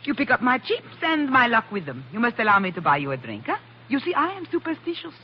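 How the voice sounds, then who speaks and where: An actress playing a charming, sly adventuress with flirtatious, formal foreign phrasing in a 1940s radio drama. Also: female voice, a little high, huge pitch swings, fast. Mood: coy, flirtatious. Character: charming, sly female adventuress in exotic casino. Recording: muffled, noisy vintage radio recording.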